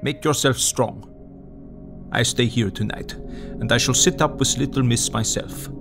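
An actor playing an older doctor, commanding, kindly and grave in formal, earnest phrasing. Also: male voice, mid-range voice, measured pace, creaky, music in the background. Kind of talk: audio drama acting.

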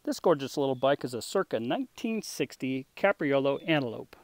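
A man presenting a restored vintage motorcycle for sale, talking in an unhurried way with a touch of humor while the camera circles the bike outdoors. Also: medium pitch, big pitch swings, no echo. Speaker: classic motorcycle dealer. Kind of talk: off-camera sales walkthrough.

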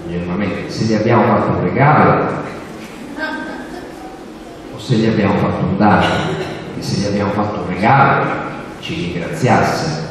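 An older man speaking at a panel, sarcastic and mocking, building his points toward punchlines while gesturing with a handheld mic on stage. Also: medium pitch. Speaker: journalist speaking at a book fair panel. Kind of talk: sarcastic public talk to an audience. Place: large hall on stage. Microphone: handheld microphone, recorded from the audience. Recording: echoey hall from far off.

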